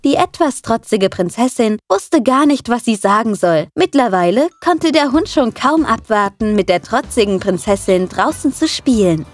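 A woman's voice: a little high, very animated, normal speed, few pauses. A woman narrating a scripted children's cartoon story. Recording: music behind the narration.

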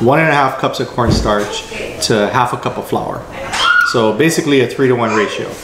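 A man's voice: mid-range voice, moderate pace, reverberant, heavy background noise. A man in his thirties showing how he cooks a recipe in a home kitchen, explaining the steps plainly and easily. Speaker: host's husband, guest cook. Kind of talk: casual cooking demonstration.

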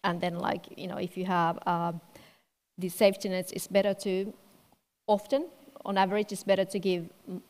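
A woman on a panel giving a measured comment, steady and peppered with "you know". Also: mid-range voice. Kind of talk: panel discussion. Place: large event hall on stage. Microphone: headset mic. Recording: long hall reverb.

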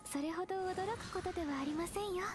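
A woman voicing a gentle, dutiful young half-human immortal in an anime video game, polite and formal in manner. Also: extremely high pitch, fast talker, noisy background. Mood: earnest. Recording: music under the voice. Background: game music.

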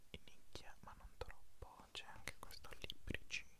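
Slow, whispering man, ASMR style. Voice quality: breathy, unvoiced whisper. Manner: gentle, halting, lingering on words. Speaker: ASMR channel host. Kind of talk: whispered ASMR, introducing a poetry reading. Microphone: close ASMR microphone.

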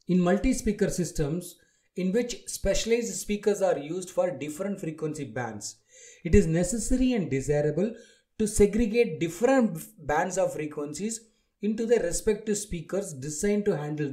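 A man with a fairly high, soft-toned voice delivers a prepared lecture. He reads deliberately, breaking the material into short phrases and numbered points. The timbre is mellow rather than sharp. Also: animated. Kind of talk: scripted lecture read from slides.

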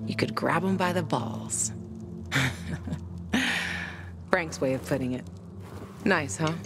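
Low, slow, guarded woman fighter. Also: music in the background. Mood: wry, playful. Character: tough, guarded woman fighter, wry and bitter. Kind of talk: intimate cinematic game dialogue.

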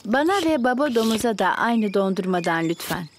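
A woman voicing a kindly, patient mummy pig in a children's cartoon, gentle and motherly as she explains things. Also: mid-range voice, sing-song, brisk, slight background noise, close to an everyday voice. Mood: pleasant. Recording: light music behind.

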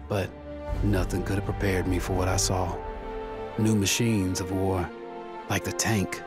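An actor voicing a reflective, weary soldier who narrates his war slowly and solemnly in a video game. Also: low voice, lightly acted. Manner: slow, solemn, measured. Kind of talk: scripted narration. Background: music.

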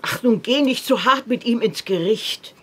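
An actress playing a kindly old farm grandmother in a radio drama, with a husky voice. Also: a little high, normal speed. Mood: gently reproving.

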